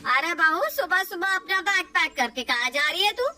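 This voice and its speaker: A woman's voice: extremely high pitch, expressive, moderate pace, bright, forceful tone, distant mic, pitched above the performer's own voice, exaggerated voice. A woman voicing a proud older mother-in-law who scolds her family and later admits she is worn out. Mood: scolding.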